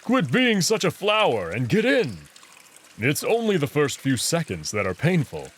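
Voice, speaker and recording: A man's voice: fairly high pitch, huge pitch swings, normal speed, slight background noise, pitched above the performer's own voice. A man voicing a big, playful wolf prince in a visual novel, with a teasing, commanding way of talking. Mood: teasing, goading. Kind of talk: visual novel character acting.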